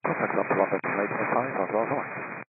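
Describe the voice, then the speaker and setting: A man's voice: fairly low pitch, quick pace. A man talking as a pilot in clipped readbacks over aviation radio. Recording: narrow, noisy airband radio with static.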